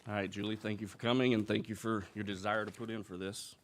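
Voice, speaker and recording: A man's voice: on the low side, flat delivery, quick pace, noisy background. A man chairing a school board meeting, speaking in a plain, procedural way.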